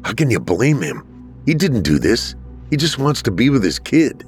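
A man's voice: medium pitch, sing-song, normal speed, faint music behind, voice pushed up, close to an everyday voice. A man voicing a frustrated, caring dead man, now a ghost, in a story. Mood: resigned.

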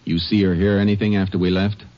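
An American man acting as a steady, shrewd Texas Ranger in a 1950s radio western, terse, measured and authoritative. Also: low-pitched, lightly acted. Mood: businesslike. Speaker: lead actor and narrator. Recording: muffled, noisy old radio broadcast recording.

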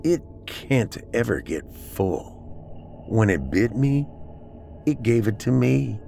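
A man voicing a possessed, hungry, menacing man in a horror story, speaking slowly with eerie blankness. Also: lowish voice, vocal fry, soft background music, full character voice. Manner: slow, flat, eerie. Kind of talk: horror audiobook character acting.

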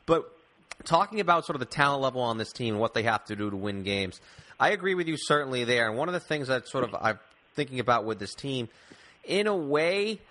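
A man's voice: mid-range voice, animated, fairly quick, a bit bright. A man hosting a casual sports discussion in a conversational way. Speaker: sports podcast host.

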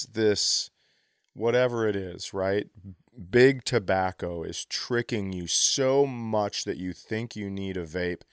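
A man's voice: fairly low pitch, smooth, no room sound, studio quality. A man riffing in casual comedic banter, teasing and crude, reasoning out absurd points as a podcast co-host.

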